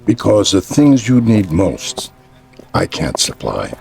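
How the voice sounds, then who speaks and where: Elderly peddler, low gravelly voice, cryptic, quietly regretful, measured delivery, radio drama, faint music behind. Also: male voice, huge pitch swings, exaggerated voice. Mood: regretful, firm. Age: older. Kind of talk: vintage radio thriller acting.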